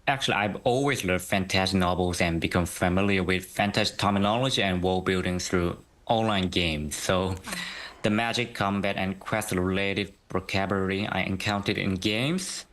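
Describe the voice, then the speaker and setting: A young man, a guest translator giving measured interview answers that often begin with "so". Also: deep voice, a bit bright. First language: Korean. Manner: measured, starts many sentences with "so".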